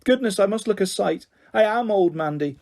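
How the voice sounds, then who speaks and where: A man's voice: sits high, expressive, moderate pace, vocal fry, darkish tone, voice pushed up. A man voicing a fretful middle-aged mum. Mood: tearful. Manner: wavering, tearful, earnest. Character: middle-aged mother, fussy and old-fashioned.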